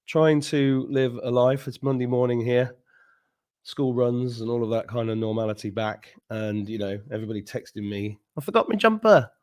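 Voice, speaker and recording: A man's voice: medium pitch, darkish tone. A middle-aged man hosting a live stream, chatting casually with viewers, joking, laughing often and drifting into rambling asides. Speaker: host.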